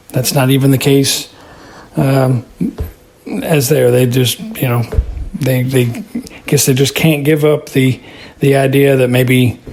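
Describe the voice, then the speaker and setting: A man from Texas with an American accent, giving a casual spoken review in a halting, thoughtful, repetitive way. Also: mid-range voice, darkish tone. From Texas, United States. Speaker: listener commentator.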